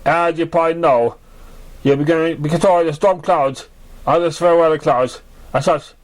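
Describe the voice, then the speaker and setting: A man's voice: a little high, steady tone, normal speed, voice pushed up, natural voice. Man playing an authoritative, kindly stallion team leader. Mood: commanding, friendly. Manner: authoritative, formal. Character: booming but kindly senior stallion weather team leader.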